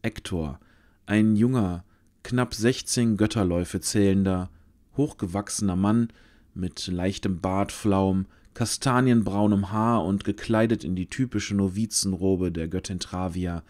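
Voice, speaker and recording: Low-voiced male fantasy narrator. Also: a little slow, a little mellow. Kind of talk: scripted fantasy audio drama narration. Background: music.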